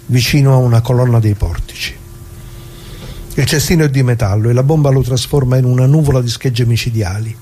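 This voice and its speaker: An actor playing a dead retired working-class man, bitter and plain-spoken, addressing listeners in a halting, repetitive theatrical radio monologue. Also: mid-range voice, mellow, a little hiss or hum, close to an everyday voice. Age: older.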